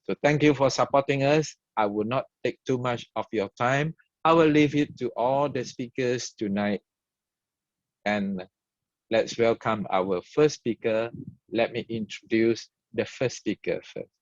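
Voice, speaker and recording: A man with a Malaysian English accent delivers an opening address in short, emphatic, repeated phrases. He speaks slowly, pausing between each point. The sound is dry and close, with dull, lo-fi livestream quality. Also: mid-range voice, dry recording. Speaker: event host and organizer. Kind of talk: opening speech at an online event.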